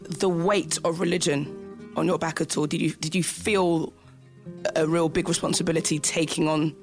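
Curious interviewer, mid-pitched voice. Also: little pitch movement, moderate pace, soft background music. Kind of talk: asking interview questions.

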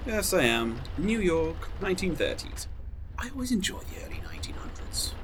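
An actor playing a courteous, reassuring gentleman attendant in an audio drama, speaking politely and formally with gentle concern. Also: high voice, very animated, moderate pace, a little hiss or hum. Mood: polite, calm.

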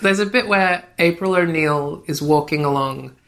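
A woman from Australia, a writer and podcast guest, telling anecdotes in a chatty, animated way. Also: low voice, moderate pace. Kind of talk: casual anecdotal storytelling.

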